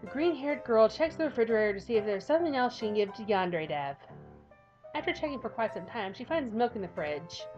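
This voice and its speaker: A playful woman reading a game story aloud and chatting between passages, her voice fairly high and very lively. She jokes at her own expense. The recording is slightly muffled, with faint music running beneath. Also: a little fast. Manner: playful, giggly, self-mocking. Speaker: YouTuber. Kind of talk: casual game commentary, reading a visual novel aloud.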